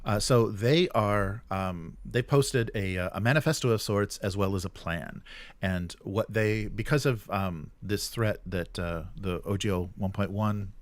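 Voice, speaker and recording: Low-voiced man, close dry sound. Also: a little slow, no room sound. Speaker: show host. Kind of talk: casual news rundown with commentary.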